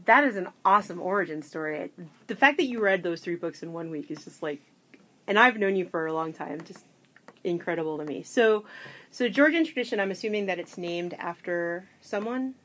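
A woman's voice: lowish voice, lively intonation, a little fast, pushed and bright. A woman interviewing a guest, friendly and curious, often laughing along. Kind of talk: casual interview.

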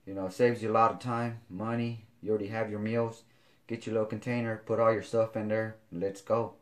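A man, showing kitchen gear in a casual home video, talking haltingly with many fillers. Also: on the low side, flat delivery. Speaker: fitness vlogger. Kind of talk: casual product demo. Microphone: camera on counter.

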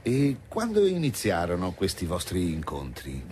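A deep-voiced, shrewd police commissioner. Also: male voice, wildly swooping pitch, a little slow, full character voice. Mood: measured, probing. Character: shrewd, genial male provincial police commissioner. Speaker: actor in a radio drama. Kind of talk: naturalistic radio drama acting. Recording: loud car and ambience noise behind.

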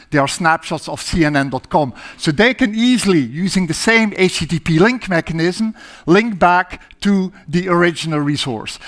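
A middle-aged man with a Dutch accent gives a keynote talk on a big dark stage, pacing as he explains step by step. His voice sits high for a man, and he leans on frequent "uh" fillers while speaking into a clip-on mic. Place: large dark stage with projection screen.

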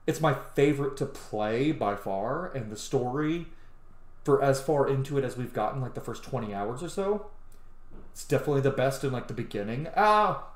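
A man's voice: medium pitch, darkish tone. A man in his thirties giving enthusiastic, opinionated and casual commentary as he plays a video game. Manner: enthusiastic, opinionated, swears freely. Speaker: gaming let's player. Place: small room at home, indoors. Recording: roomy home recording under game audio. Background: game music.

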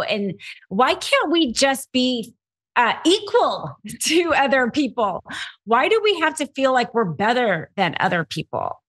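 A woman's voice: fairly high pitch, fairly bright tone. A middle-aged woman with an American accent giving conversational news commentary as a panelist. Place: home room, indoors.

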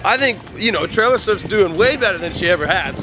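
A man's voice: sits high, animated, fairly quick, heavy background noise. A young man bantering casually to a handheld camera as a travel vlogger. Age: young adult. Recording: music behind outdoor camera talk. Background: clear music.

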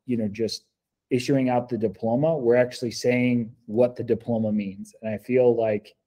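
An American man in his forties giving earnest, measured testimonial answers as a school coordinator, on a video call from an office meeting room. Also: mid-range voice, dark tone. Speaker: school district program coordinator. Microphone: laptop video call.

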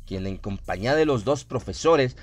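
A man reading a scripted horror story as a narrator, in a dramatic, suspenseful manner with drawn-out phrasing. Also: medium pitch, lively intonation. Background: music.

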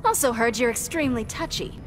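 A woman's voice: a little high, brisk, over loud music, lightly acted. A woman playing a plucky young superheroine in a video game, confident, flippant and defiant. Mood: defiant, sassy. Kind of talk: video game character acting.

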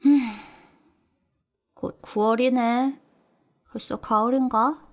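A woman's voice: a little high, steady tone, slow pace. An actress playing a cheerful, teasing young female office worker in an amateur audio drama. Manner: bright, teasing, eager.

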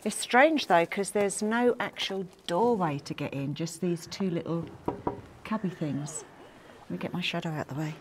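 A woman's voice: on the low side, normal speed, slight background noise. A woman casually narrating a visit to an old airport outdoors. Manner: chatty, curious, wondering aloud. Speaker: travel vlogger.

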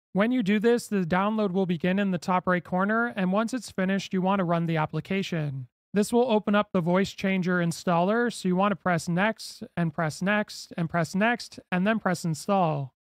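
A man with a notably high voice narrates a how-to guide in a step-by-step, instructional way. The sound is dry and close, like a screen-recording voice-over. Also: no echo. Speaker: tutorial host. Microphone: close mic, screen-recording voice-over.

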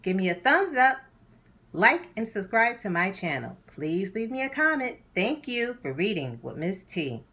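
A woman hosting a children's read-aloud, narrating a storybook in a deliberate, animated way for young listeners. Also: medium pitch, slightly rough.

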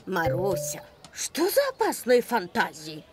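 A woman's voice: lowish voice, wildly swooping pitch, a little slow, loud music behind the voice. A woman voicing an eccentric, fussy elderly lady in a children's cartoon, with a theatrical delivery. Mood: baffled, indignant.

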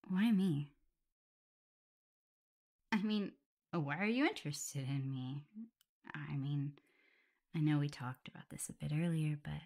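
A woman's voice: low-pitched, heavily acted. A woman playing a shy, caring, self-doubting young werewolf in an intimate ASMR roleplay, speaking hesitantly. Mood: insecure, hesitant. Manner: hesitant, stammering, soft, breathy whispers at times.

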